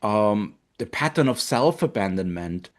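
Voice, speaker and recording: A man in his fifties from Austria, with an Austrian German accent, hosting a live online webinar in an earnest, gentle way with fillers and pauses. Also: fairly low pitch, animated. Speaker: webinar host, marketing director.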